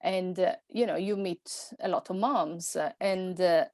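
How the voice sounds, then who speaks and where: Italian-accented woman, low and hesitant. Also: a little slow, darkish tone, no room sound, studio quality. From Italy. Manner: hesitant, many fillers and restarts. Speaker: guest, personal stylist. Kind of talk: podcast conversation.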